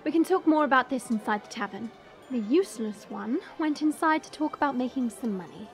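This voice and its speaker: A woman's voice: sits high, lively intonation, brisk, faint music behind. A woman playing a proud, sardonic young dragon woman who narrates an audio drama in a chatty, confiding way. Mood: dry narration. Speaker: narrator and lead character.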